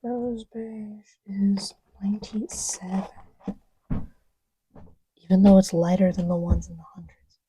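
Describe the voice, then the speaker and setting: A woman acts out a playful, easily amused girlfriend in an intimate audio roleplay. She rambles in a mellow, slightly breathy voice with mock indignation, taking her time. It sounds close and natural, often sinking to a murmur. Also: medium pitch, flat delivery, a little slow, pauses often, low-quality recording. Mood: absorbed, chatty. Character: giggly, playful girlfriend testing markers on boyfriend's lap.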